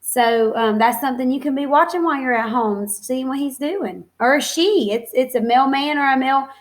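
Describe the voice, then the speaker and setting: High-voiced woman reading aloud to children. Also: normal speed. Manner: simple child-directed phrasing, expressive, encouraging. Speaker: teacher reading a picture book to young children. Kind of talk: read-aloud storytelling with explanatory asides and questions to children.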